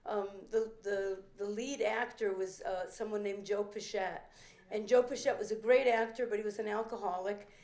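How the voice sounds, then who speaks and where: An older woman from a working-class Italian immigrant family, a veteran performer telling a rambling, animated story with restarts and acted-out quotes at a theater benefit. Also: mid-range voice. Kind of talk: anecdotal storytelling speech. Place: event room at a private club. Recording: roomy echoing event hall.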